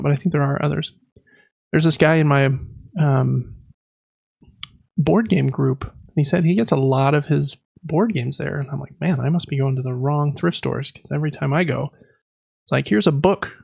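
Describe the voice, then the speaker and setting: A man's voice: fairly high pitch, creaky, dry recording, muffled recording. An American man in his thirties chatting casually as a podcast co-host, rambling along with self-deprecating humor. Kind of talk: casual chat.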